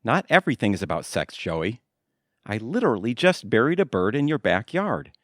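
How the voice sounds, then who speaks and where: An actor playing a mopey, earnest, defensive husband in an audio comedy. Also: male voice, medium pitch, big pitch swings, normal speed, no room sound, studio quality, lightly acted. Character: mopey, earnest husband crashing on friend's couch. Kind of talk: audio comedy drama acting.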